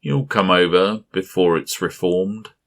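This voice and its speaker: A man voicing a giant gaseous male creature who mocks and menaces in a slow, leering drawl. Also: sits low, animated, fairly bright tone, full character voice. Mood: cold taunting. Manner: taunting, drawn-out leering delivery.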